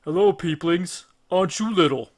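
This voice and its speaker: A man's voice: sits high, moderate pace, voice pushed up. A man voicing a greedy, brutish one-eyed giant in a children's storybook, boastful and taunting as he speaks. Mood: gloating greeting.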